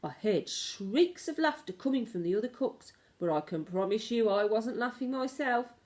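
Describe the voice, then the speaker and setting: A woman reading a children's story aloud like a teacher, in expressive narration with dramatic, varied emphasis. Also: fairly high pitch, normal speed.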